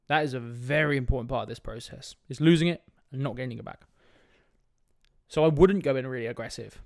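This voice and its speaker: A man's voice: mid-range voice, a little fast, gravelly, dry recording, studio quality. A young man with a sniffly cold, hosting a casual solo fitness podcast in a direct, laid-back way with frequent "right?" asides. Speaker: fitness coach podcast host.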